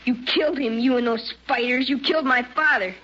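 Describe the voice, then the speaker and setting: High, bright young boy. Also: a little fast. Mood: defiant, angry. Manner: urgent, earnest, defiant. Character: brave, frightened young farm boy on Mars. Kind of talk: old-time radio drama acting. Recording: dull old radio recording, faint noise.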